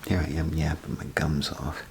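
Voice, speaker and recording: Very deep, gravelly whispering man. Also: level tone, moderate pace, a little mellow, a little hiss or hum. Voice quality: gravelly, breathy half-whisper. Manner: rambling, hesitant, folksy. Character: chatty, grumbling male bathroom attendant, down on his luck. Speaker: ASMR performer. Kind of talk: soft-spoken ASMR role play, half whispered.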